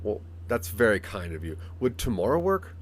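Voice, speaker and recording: Male narrator as polite hobbyist engineer, animated pitch, tentative, courteous, audio drama. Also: moderate pace. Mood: grateful. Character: Mark, polite self-made engineer, tentative and eager.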